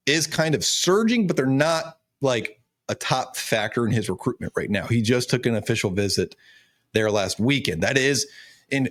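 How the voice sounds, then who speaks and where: Male sports analyst, 30s, emphatic commentary delivery, voice strained and cracking from losing it. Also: medium pitch. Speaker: sports show host and recruiting analyst. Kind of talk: college football recruiting commentary.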